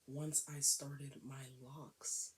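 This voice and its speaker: A woman with a raspy, cold-worn voice chatting casually to camera in a hair vlog, often dropping into a whisper. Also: a bass voice, little pitch movement, very slow, a little hiss or hum, low-quality recording. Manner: chatty, emphatic, with frequent whispery stretches. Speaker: hair vlogger.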